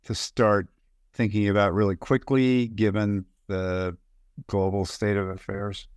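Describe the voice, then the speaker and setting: A man hosting a podcast, asking a measured, drawn-out question. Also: lowish voice, flat delivery, clear, resonant tone, no room sound. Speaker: podcast host. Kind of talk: interview questions.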